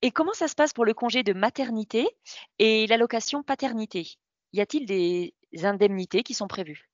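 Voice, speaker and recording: A woman hosting a podcast and asking measured, scripted interview questions. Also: on the high side, dry recording. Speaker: podcast host. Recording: dull lo-fi sound, very dry.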